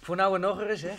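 High-pitched, flustered manservant. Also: male voice. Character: flustered, servile manservant, eager and cheeky. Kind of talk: old Dutch radio drama acting.

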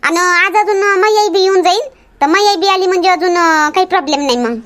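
Someone putting on a shrill chattering village housewife. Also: brisk, fairly bright tone. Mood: earnest, chatty. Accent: rural Vidarbha Marathi. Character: Shanta, busy scolding village housewife and mother. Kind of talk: Marathi cartoon comedy dialogue.

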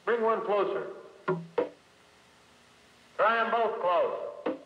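An American man playing a brisk, commanding creative producer in a staged 1960s industrial film. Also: sits high, over music, heavily acted. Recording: echoey hall, dull vintage film soundtrack.

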